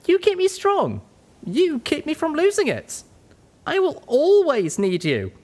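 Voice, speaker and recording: A man's voice: very high voice, huge pitch swings, measured pace, a little mellow, pitched above the performer's own voice, full character voice. A man voicing an anxious, devoted young female pony adventurer in an audiobook, earnest and fretful. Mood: earnest, pleading. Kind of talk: audiobook character voice.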